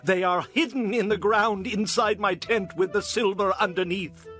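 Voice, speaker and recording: A man's voice: high-pitched, steady tone, normal speed, a bit bright, faint music behind. A man playing a guilty, contrite Israelite in a dramatized scripture recording, speaking in a pleading way. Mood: fearful, contrite. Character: Achan, guilty male Israelite confessing wrongdoing. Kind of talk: dramatized scripture audio acting.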